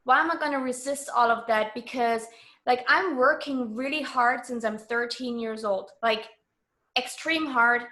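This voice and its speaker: A woman with a high voice, speaking as a language. She talks like a guest in a casual interview, sure of herself and informal. Her delivery is emphatic as she explains her views. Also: a little slow, bright, forceful tone. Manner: emphatic, self-assured, informal.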